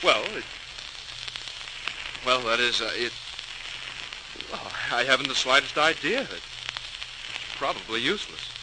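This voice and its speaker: An actor playing an earnest, excitable young chemistry student, polite and eager, in old-time radio drama style. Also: male voice, medium pitch, takes it slowly, pauses often. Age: young adult. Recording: hissy, crackling 1940s radio recording.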